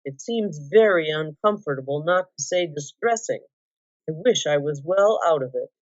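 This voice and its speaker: A woman voicing a small, fretful, excitable male hobbit in a storybook, with polite old-fashioned phrasing. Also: low voice, normal speed. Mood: miserable. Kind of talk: dramatic storybook reading. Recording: echoey room, slightly dull sound.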